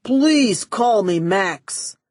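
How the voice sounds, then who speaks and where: A woman's voice: medium pitch, animated, extremely slow, darkish tone, no room sound, studio quality. A woman voicing a middle-aged male gangster boss in an audiobook, lovesick and pushy, emphatic and pleading. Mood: infatuated, pleading. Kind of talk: audiobook character voice.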